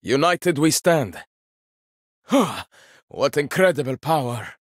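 Casting: proud young game hero, inventor-warrior, dry wit, slow deliberate delivery, lively pitch, dry close studio sound. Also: male voice, dry recording. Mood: confident. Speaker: game character. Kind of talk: video game voice lines.

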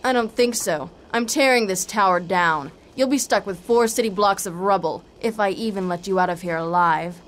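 A woman playing a cocky, sardonic half-vampire heroine in a video game, with wry deadpan taunts. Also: mid-range voice, moderate pace, few pauses, lightly acted. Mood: cocky, threatening. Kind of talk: video game cutscene acting.

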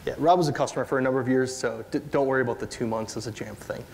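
A man in his thirties with an upper Midwest accent, giving a relaxed technical conference talk with lots of fillers and questions to the audience. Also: medium pitch, rapid-fire, slight background noise. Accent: upper Midwest American. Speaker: conference presenter. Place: large dark stage before an audience.

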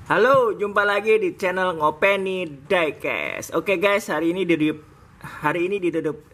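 A man hosting a relaxed toy review vlog, talking casually to viewers as he unboxes toy cars at a table. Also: high voice, normal speed. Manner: relaxed, chatty, sprinkled with "guys". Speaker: diecast toy channel host. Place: indoors at a table, off camera.

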